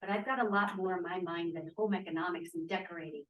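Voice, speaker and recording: A woman's voice: on the low side, fairly even intonation, quick pace, highs cut off, a little hiss or hum, close to an everyday voice. Blunt, wry young 1940s college feminist woman actor, read-through recorded off-mic in a live room. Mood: indignant. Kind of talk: script read-through acting. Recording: distant, echoey room microphone.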